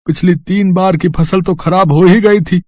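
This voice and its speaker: A man playing a poor, loving farmer and father, earnest and melodramatic, in an animated moral story. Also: high voice, normal speed, no room sound. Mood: anguished.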